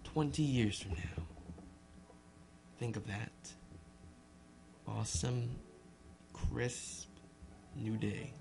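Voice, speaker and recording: A man's voice: mid-range voice, very slow, vocal fry, long pauses, background noise. A man doing casual amateur narration for a gaming video, informal and often stumbling over words and names. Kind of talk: casual read-aloud narration with loose asides. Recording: music playing behind the voice. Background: loud instrumental music.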